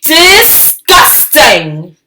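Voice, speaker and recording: A woman voicing a snooty, critical young girl mouse in a storybook, with a disdainful, deliberate delivery. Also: sits high, huge pitch swings, echoey room, background noise, voice pushed up, exaggerated voice.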